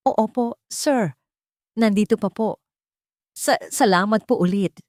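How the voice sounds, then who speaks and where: A woman's voice: mid-range voice, slow pace, a little mellow, no room sound, clean studio sound, pitched above the performer's own voice, close to an everyday voice. A woman voicing a timid, deferential housemaid who speaks hesitantly in an audio drama. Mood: nervous, grateful. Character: timid, respectful young housemaid, easily flustered. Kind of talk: audio drama character dialogue.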